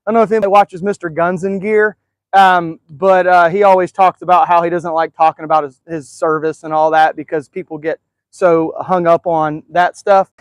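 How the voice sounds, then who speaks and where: A man with a fairly high voice talks casually to his viewers from outside in his yard. He rambles in an easygoing way, with plenty of filler words. His voice sounds dry and close, with no room echo. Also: steady tone, moderate pace. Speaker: live stream host. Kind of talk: casual live-stream chat. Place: outdoors in a backyard.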